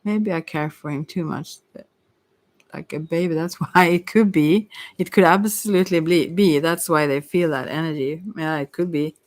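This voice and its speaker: Low-voiced woman giving a soft tarot reading. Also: normal speed, no room sound. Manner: soft-spoken, rambling, hesitant, giggly asides. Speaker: tarot reader and ASMR host. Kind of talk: casual ASMR tarot reading.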